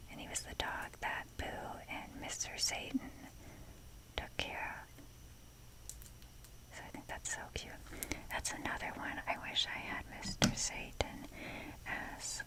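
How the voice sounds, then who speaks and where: A young adult woman whispering in a breathy, casual, rambling ASMR style as she shows things off. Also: poor audio. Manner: unhurried, informal, rambling. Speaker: ASMR video creator.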